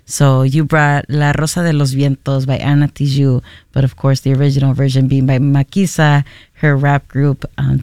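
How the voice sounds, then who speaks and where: A male radio host with a gravelly, clear voice introduces guests and songs at a measured pace. His pitch stays level and steady. The studio sound is dry and close. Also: no echo. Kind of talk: radio interview hosting.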